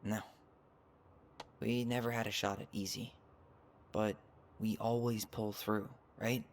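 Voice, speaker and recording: An actor playing a supportive, earnest young adult brother with an American accent in a naturalistic video game cutscene. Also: male voice, on the low side, level tone, takes it slowly, a little hiss or hum. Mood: earnest, reassuring.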